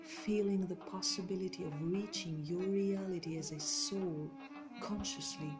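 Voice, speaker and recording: A woman narrating a guided meditation in a soothing, measured, deliberate way, with pauses between phrases. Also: mid-range voice. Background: meditation music.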